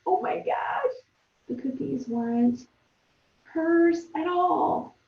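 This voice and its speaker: A woman teacher thinking aloud for young pupils in a conversational, expressive read-aloud lesson, speaking to them directly. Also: extremely high pitch, takes it slowly, narrow, tinny audio.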